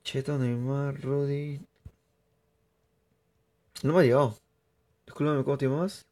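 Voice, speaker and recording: Young man, streamer, excitable, chatty, live game commentary. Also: mid-range voice, unhurried. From Peru. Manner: chatty, excitable, laughs often, addresses viewers.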